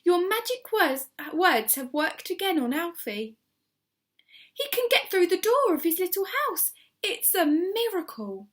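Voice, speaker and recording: Woman putting on an excitable, shrill, gushing lady. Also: measured pace, heavily acted. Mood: delighted, urgent. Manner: shrill, exclaiming.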